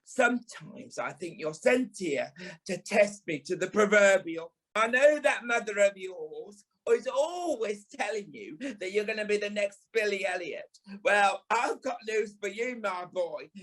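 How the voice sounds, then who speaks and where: An actor playing a camp, sharp-tongued British dance teacher and former stage dancer in an audio play, theatrical and sarcastic. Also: male voice, high-pitched, lively intonation, a little slow, full character voice, poor audio. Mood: sarcastic, scolding. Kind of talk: audio play monologue and dialogue.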